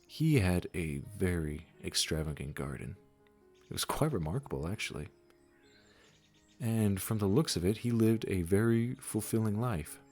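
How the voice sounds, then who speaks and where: A man playing a gentle, wise supernatural gardener in a comforting audio roleplay, speaking soothingly and reassuringly straight to the listener. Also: low voice, animated, soft background music. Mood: reflective, tender. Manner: soothing, unhurried, reassuring, with soft chuckles.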